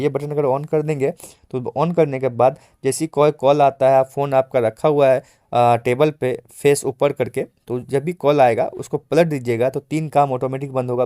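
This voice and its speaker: A man's voice: mid-range voice, dark tone, dry recording, clean studio sound. A man giving an informal step-by-step phone tutorial as host, explaining repetitively with frequent check-in tags. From India.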